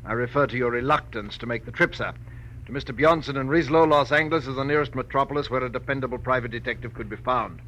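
A formal, fortyish male secretary played by a radio actor talks with prim precision and great politeness. His mid-range voice is bright and sharp. There is faint hiss from the old 1940s recording. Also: mid-range voice, fast, muffled recording, lightly acted. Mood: formal, deferential. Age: 40s.

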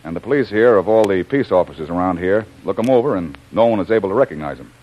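Fast-talking, low-voiced radio agent. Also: male voice, expressive. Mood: businesslike. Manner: clipped, businesslike. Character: brisk, confident male government agent. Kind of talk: old-time radio adventure acting. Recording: dull old radio recording.

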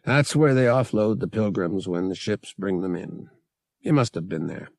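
Man playing a gruff, gravelly space smuggler pilot. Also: on the low side, lively intonation, normal speed, a little mellow, dry recording. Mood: sardonic. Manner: gruff, exasperated, blunt. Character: brash, sardonic male space smuggler pilot.

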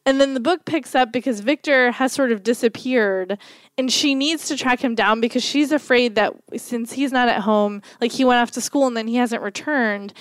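A woman co-hosting a podcast, chatting casually about a book in a rambling way with lots of fillers, a little croaky from a cold. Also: fairly high pitch, dry recording. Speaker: podcast co-host. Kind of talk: casual book-review chat.

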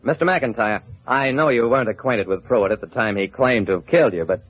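An actor playing a shrewd, hard-boiled investigator in an old-time radio drama, wry, clipped and confident. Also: male voice, mid-range voice, fairly quick, close to an everyday voice. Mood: probing, firm. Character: shrewd, hard-boiled male investigator telling his case. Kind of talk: old-time radio detective narration and acting. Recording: muffled vintage radio recording.

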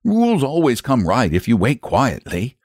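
A man's voice: mid-range voice, wildly swooping pitch, normal speed, darkish tone, no echo, clean studio sound, pitched above the performer's own voice. A man voicing a bookish, self-assured young country boy in a storybook, unruffled, matter-of-fact and a little condescending. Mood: calmly confident.

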